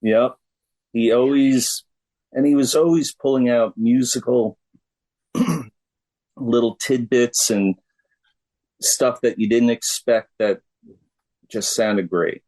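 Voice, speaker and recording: A man's voice: medium pitch, fairly even intonation, slow pace, pauses often, a little mellow, rough recording. A middle-aged American man, a musician chatting in a laid-back, plain-spoken way as an interview guest. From United States. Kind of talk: casual interview conversation.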